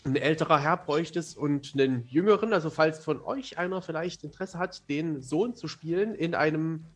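A man's voice: on the high side, moderate pace, a bit bright, faint music behind. A man from eastern Germany talking casually as an author being interviewed on a podcast, thoughtful and self-deprecating.

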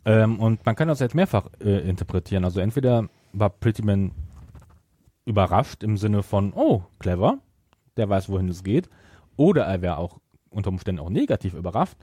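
A man talks casually as a podcast co-host, explaining and correcting. His voice is lowish, mellow and a bit husky. It is recorded dry. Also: sing-song, fairly quick, dry recording. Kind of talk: casual chat, discussing an audio drama.

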